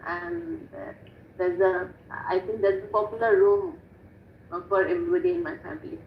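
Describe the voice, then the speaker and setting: A woman's voice: mid-range voice, big pitch swings, moderate pace. A woman answering practice speaking-test questions over a video call, hesitant with many fillers and restarts. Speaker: IELTS student, mother of two. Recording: dull echoey call audio with faint noise.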